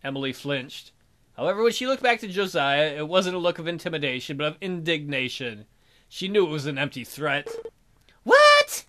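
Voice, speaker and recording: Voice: mid-range voice, expressive, fairly quick, fairly bright tone, slight background noise. A game player reading a story aloud as narrator and breaking off for wry, teasing commentary. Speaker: let's play host.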